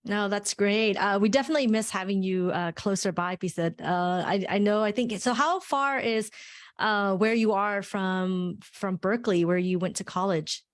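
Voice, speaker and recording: A woman's voice: mid-range voice, moderate pace, no room sound, studio quality. A woman hosting a podcast interview over a call, friendly and chatty, with many fillers and restarts. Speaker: podcast host. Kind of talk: casual interview conversation.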